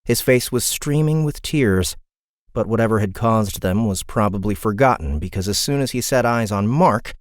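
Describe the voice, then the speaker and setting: A man narrating a fantasy audiobook in an expressive, dramatic storytelling style. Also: fairly low pitch, normal speed, no room sound, clean studio sound.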